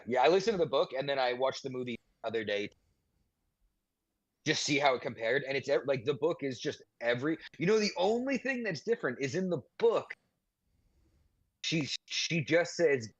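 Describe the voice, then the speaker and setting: A man's voice: mid-range voice, no echo. Casual man on a dull, dry mic. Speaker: podcast co-host. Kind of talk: casual chat. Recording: dull, slightly lo-fi microphone.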